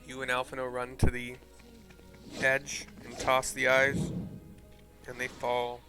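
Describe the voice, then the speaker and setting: A man's voice: medium pitch, monotone, slow pace. A man streaming a video game, narrating scenes and commenting casually with rambling, informal, sweary asides. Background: game music.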